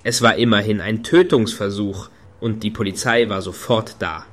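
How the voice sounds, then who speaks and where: A concerned, doubting friend in a radio drama. His voice is fairly low and the delivery is conversational. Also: fairly even intonation, normal speed, fairly bright tone. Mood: worried, reproachful. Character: concerned, skeptical male friend. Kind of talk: radio play dialogue.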